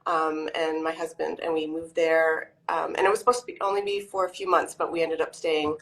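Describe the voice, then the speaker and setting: Canadian woman guest on a video call, lowish voice, lots of ums and restarts, chatty. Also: little pitch movement, brisk, low-quality recording. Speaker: author guest. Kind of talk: casual interview over video call.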